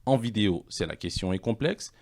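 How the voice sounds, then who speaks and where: A man presenting an online language course, reading questions and answers in a measured, explanatory way. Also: on the low side, lively intonation, darkish tone.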